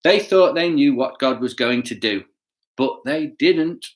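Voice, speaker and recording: A man reading a children's story aloud with chatty asides, playful and expressive, often putting questions to his listeners. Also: medium pitch, measured pace. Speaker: children's bedtime story reader.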